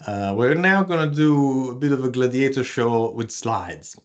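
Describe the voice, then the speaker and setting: A man's voice: medium pitch, animated, a little slow, highs cut off. Italian-accented man giving an online workshop. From Italy. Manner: chatty, many fillers and restarts, playful with audience. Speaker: research communication presenter. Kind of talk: live online workshop presentation.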